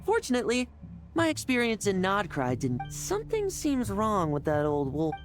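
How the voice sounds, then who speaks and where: A woman playing a wary, sharp-witted adventurer in a video game, with a wry, challenging manner. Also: mid-range voice, wildly swooping pitch, normal speed, over music.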